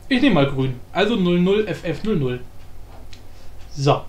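A man presenting a software tutorial casually, with informal, jokey asides and frequent self-interruptions. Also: high-pitched, very animated, unhurried. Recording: roomy echo, faint background noise.

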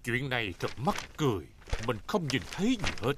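Lively cartoon father pig, voiced by a man. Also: medium pitch, fairly quick, background noise, lightly acted. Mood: grumbling. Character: good-natured adult father pig, encouraging, sometimes flustered. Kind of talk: dubbed children's cartoon acting.

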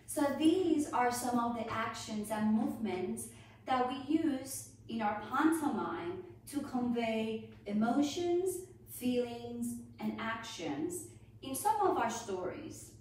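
A woman giving an instructional dance lesson as a ballet teacher, with a measured, deliberate, encouraging delivery, recorded indoors on a distant camera microphone. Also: on the high side, expressive, a little mellow, slight background noise. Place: indoors, hard-floored home studio room. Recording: echoey room, distant mic, music behind.

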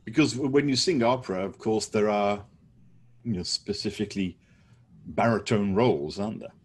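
A middle-aged man with a slightly husky, lowish voice interviews a guest over a video call. He asks short, curious follow-up questions. He speaks from a book-lined room at home. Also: moderate pace. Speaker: interviewer, host.

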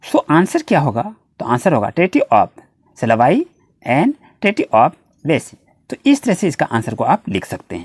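A tutor with an Indian accent and a husky, very swooping voice, recorded close and dry. The delivery is explanatory, speaking straight to students while reading out questions and answers, and it gets halting on English passages. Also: medium pitch, dry recording. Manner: addresses students directly, repetitive explanatory phrasing.

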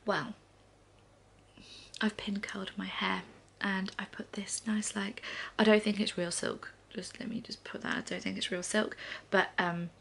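A woman in her thirties chatting to camera in a soft, often half-whispered way on a living room sofa, a little congested. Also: mid-range voice, flat delivery, a little slow, creaky, slight background noise. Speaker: vlogger. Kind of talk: casual morning chat to camera.

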